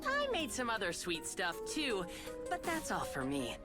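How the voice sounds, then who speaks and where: A woman's voice: medium pitch, wildly swooping pitch, a little slow. A woman voicing a cheeky, upbeat young tinkerer in a video game, talking in a breezy, slangy, boastful way. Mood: breezy, cheerful. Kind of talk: scripted video game voice acting. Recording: loud music behind. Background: loud game music.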